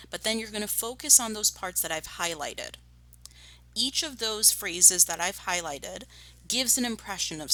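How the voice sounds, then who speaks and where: A woman primary school teacher reads to pupils and explains tasks deliberately. Her voice is slightly low for a woman, with a bright, forceful tone. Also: poor audio. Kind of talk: storybook read-aloud and lesson instruction.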